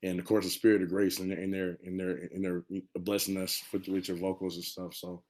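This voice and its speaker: A man with a very low voice speaks off the cuff as a panel participant. His tone stays fairly flat, and he feels his way through sentences, repeating and rewording as he goes. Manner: halting, repeats and rephrases words. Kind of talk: unscripted panel reflection.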